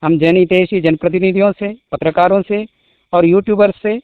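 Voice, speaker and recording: A man reading out a formal statement as a spokesman, in measured short phrases, over a phone call. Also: high voice, fairly even intonation, clear, resonant tone, no room sound. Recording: muffled phone-line call recording.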